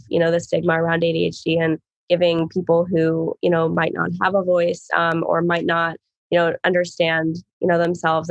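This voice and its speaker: A woman guest, hoarse from being sick, talking in a rambling way with many fillers. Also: fairly low pitch, no echo, lo-fi sound. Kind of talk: casual chat.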